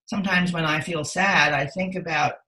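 A woman's voice: low voice, steady tone, moderate pace, bright, forceful tone. An older woman giving reflective, earnest answers as a guest in a conversational interview, repeating words for emphasis.

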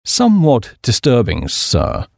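A man voicing an unflappable, deferential English valet, with a formal, measured and deadpan British delivery. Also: mid-range voice, vocal fry, no room sound, heavily acted. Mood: deferential, composed.

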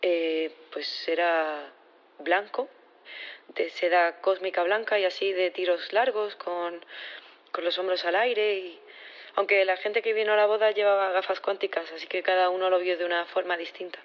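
Casting: nervous female professor testifying, played by an actress; lowish voice, halting and unsure, naturalistic audio drama, slightly dull sound. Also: fairly even intonation, lightly acted. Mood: nervous, tender. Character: nervous, hesitant female science professor on witness stand. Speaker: actor in audio fiction.

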